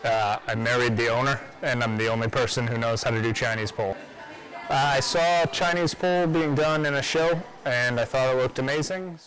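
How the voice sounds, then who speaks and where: A man who co-owns an aerial studio, talking in a laid-back, plain-spoken way. His voice has a mid-range pitch. He sounds easygoing and casual. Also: fairly quick, fairly bright tone, rough recording. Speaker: studio co-owner. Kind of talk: casual interview.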